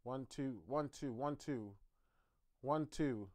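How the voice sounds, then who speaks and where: A man teaching piano on a live stream, chatting casually with viewers and working through his setup out loud. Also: mid-range voice, takes it slowly. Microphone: streaming mic.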